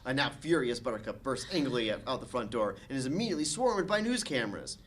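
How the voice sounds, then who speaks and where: A man narrating the stage directions of a comedy screenplay at a table read, with expressive delivery. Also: medium pitch, fast, heavy background noise.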